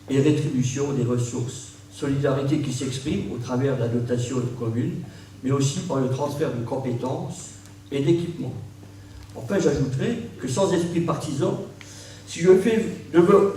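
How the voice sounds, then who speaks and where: A man, a local politician, delivering a formal, measured New Year address from a prepared text. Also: fairly high pitch, warm tone. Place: large reverberant room at a public ceremony. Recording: very echoey hall, distant mic, faint noise.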